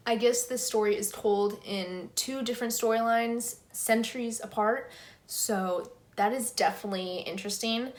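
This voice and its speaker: A young woman chatting casually and enthusiastically, as a book vlogger does. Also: a little high. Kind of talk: casual chat to camera about books.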